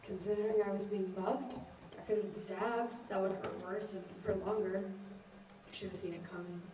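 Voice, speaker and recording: A woman's voice: mid-range voice, steady tone, normal speed, close to an everyday voice. A young woman acting a blunt, unflappable, street-smart thief in a stage play, dry and matter-of-fact. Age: young adult. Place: indoor stage, recorded from afar. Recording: distant echoey stage recording, faint noise.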